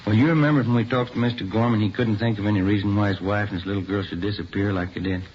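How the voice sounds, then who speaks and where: Brisk, fast-talking police detective played by a man. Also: fairly low pitch, fairly even intonation, a little mellow, close to an everyday voice. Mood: brisk, intent. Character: brisk, inquisitive police detective partner reporting a lead. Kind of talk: 1950s radio crime drama acting. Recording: muffled, slightly noisy old radio recording.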